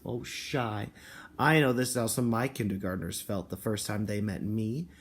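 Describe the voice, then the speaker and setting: A man speaking as a kindergarten teacher, reading and explaining to young children in an expressive, encouraging way with simple phrasing. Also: on the low side, normal speed. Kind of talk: picture book read-aloud and talk to children and parents.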